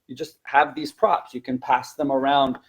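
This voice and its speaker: A man's voice: mid-range voice, little pitch movement, normal speed, low-quality recording. A man with a mid-range voice gives an informal teaching presentation. He explains things conversationally and practically, like a working language teacher talking to colleagues.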